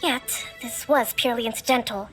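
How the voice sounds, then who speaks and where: Young female strategist, played by an actress, high-pitched, composed and diplomatic yet authoritative game dialogue. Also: fairly even intonation, fairly bright tone, faint music behind, close to an everyday voice. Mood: calm, reproving. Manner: polite, deliberate, authoritative. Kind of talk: scripted video game dialogue.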